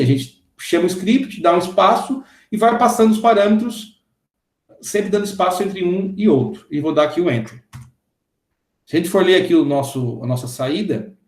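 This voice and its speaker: Man in his thirties, a programming teacher with a slightly high voice, explains step by step, echoey room sound. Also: a little mellow. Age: 30s. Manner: explains step by step, often checking in with the class. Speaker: programming instructor. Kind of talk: screen-recorded coding lesson.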